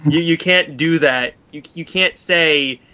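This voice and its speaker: Male guest commentator, somewhat high voice, casual and halting, with a critical bent. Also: sharp tone, rough recording.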